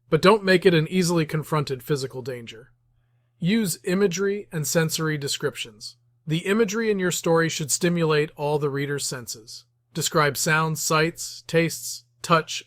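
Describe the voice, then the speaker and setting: Male tutorial host, slightly high voice, dry close sound, measured scripted delivery. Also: a bit bright, no room sound. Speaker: host of writing tips series. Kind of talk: scripted instructional narration.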